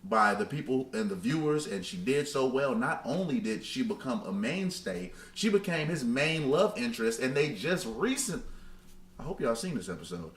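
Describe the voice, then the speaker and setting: A man's voice: mid-range voice, big pitch swings, moderate pace. A man co-hosting a podcast, talking casually and emphatically with slangy opinions. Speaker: podcast co-host. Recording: roomy echo, clear background noise.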